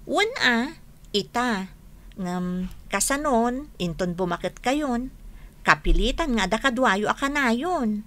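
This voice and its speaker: A woman's voice: a little high, expressive, takes it slowly, bright, forceful tone, exaggerated voice. A woman playing a devoted, polite young daughter in a radio drama, with an Ilocano accent. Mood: affectionate.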